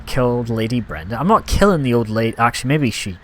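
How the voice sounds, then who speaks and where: Lively Scottish man streaming a game. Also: medium pitch, fast, a little hiss or hum. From Scotland. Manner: chatty, rambling, reacts aloud to gameplay. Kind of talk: casual live-stream commentary.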